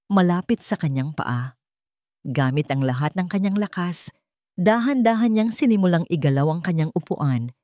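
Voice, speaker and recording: Woman narrating drama, lively swings in pitch. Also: no room sound, clean studio sound. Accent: Filipino. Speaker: story narrator. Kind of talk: dramatic scripted story narration.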